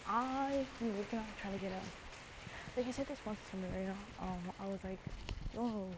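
A young woman rambling casually to her stream, her thoughts drifting from one thing to the next with a steady stream of fillers and slang like bro and dude. She sits at a mid pitch. Her audio is dull and lo-fi, with a clear hum of noise behind her.

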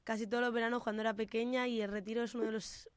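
A woman's voice: on the high side, flat delivery, racing, clean tone, dry recording. A woman co-hosting an interview, from Spain, reacting with enthusiasm in casual chat. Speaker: co-host.

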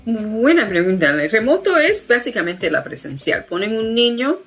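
A woman's voice: on the high side, sing-song, normal speed, fairly bright tone, faint music behind. A woman from the United States with an American accent, a guest in an interview who talks with many fillers and restarts. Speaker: guest, education founder. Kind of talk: interview conversation.

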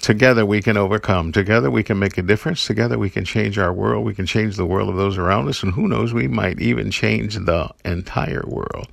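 Guy with a low gravelly voice doing an upbeat devotional talk, chuckles thru his stories, dry close mic. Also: brisk, hardly any pauses, no room sound. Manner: earnest and encouraging, tells personal stories with chuckles. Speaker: podcast host giving daily encouragement.

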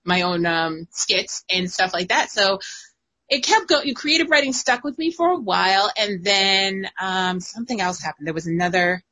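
Giggly, bright-voiced woman telling stories. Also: mid-range voice, normal speed, low-quality recording. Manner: giggly, animated storyteller. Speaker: guest writer. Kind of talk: casual chat.

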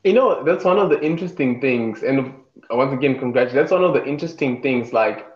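A man's voice: medium pitch, animated, quick pace. A man hosting an interview, encouraging and chatty. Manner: encouraging, frequent affirmations. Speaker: interview host. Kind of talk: casual interview chat. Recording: echoey room, dull lo-fi sound.

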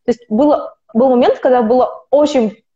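Rambling woman in her twenties, mellow voice. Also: sits high, moderate pace. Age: 20s. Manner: rambling, frequent restarts and self-corrections. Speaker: podcast guest, opera student. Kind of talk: casual conversational interview. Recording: dull lo-fi recording.

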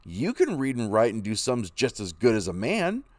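A man's voice: mid-range voice, wildly swooping pitch, normal speed, dry recording, voice pushed up. A man voicing a determined young pioneer father who is set on heading west. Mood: firm. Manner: firm, eager. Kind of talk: storybook reading.

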